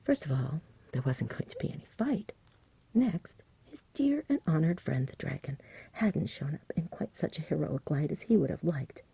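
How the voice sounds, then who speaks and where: Woman doing asmr story reading, breathy whisper w some creak, mellow, right up on the mic. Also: sits low, lively intonation, normal speed, dry recording. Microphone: close microphone.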